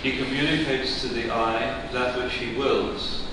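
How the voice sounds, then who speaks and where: A man in a class reading a passage aloud in a plain, steady way. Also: mid-range voice, unhurried, background noise. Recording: echoey hall, mic far off.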